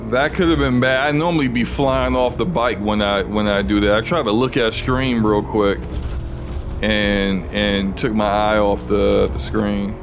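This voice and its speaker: A man with a deep voice chatting casually while he streams a video game, rambling and restarting his sentences. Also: measured pace, background noise. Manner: rambling, relaxed, frequent restarts. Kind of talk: casual gaming livestream commentary.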